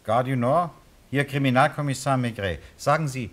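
Casting: man as methodical police inspector, radio crime play, medium-pitched voice, courteous and matter-of-fact. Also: moderate pace, fairly bright tone, a little hiss or hum, lightly acted. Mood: businesslike. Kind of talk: German radio crime drama acting.

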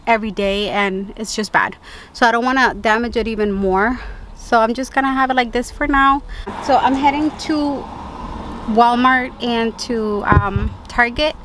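A woman's voice: on the high side, slight background noise. A young woman, a dental hygienist, chatting casually to her viewers in a vlog from her car and living room, talking close to the camera. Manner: chatty, addresses viewers as baby girl. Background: soft background music.

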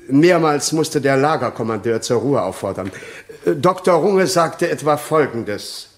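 An actor playing an earnest former soldier testifying in a radio crime drama, sober, deliberate and respectful. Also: male voice, mid-range voice, lightly acted.

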